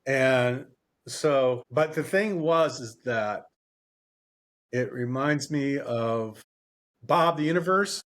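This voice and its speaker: A man's voice: mid-range voice, slow, a bit bright. Rambling man chatting on a book podcast. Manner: rambling, repeats phrases, self-correcting. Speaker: podcast co-host. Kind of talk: casual unscripted book discussion.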